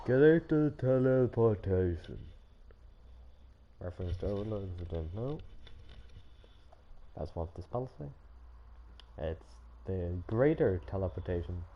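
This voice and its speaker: A man's voice: lowish voice, expressive, measured pace, gravelly, pauses often, highs cut off, faint music behind. A man giving casual live commentary as a game streamer. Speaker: game livestreamer.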